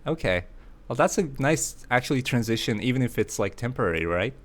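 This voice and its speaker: A man's voice: mid-range voice, animated, a little fast, fairly bright tone. Playful, laughing livestream host man. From Sweden. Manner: playful, laughs often, chatty with viewers. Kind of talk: casual livestream chat.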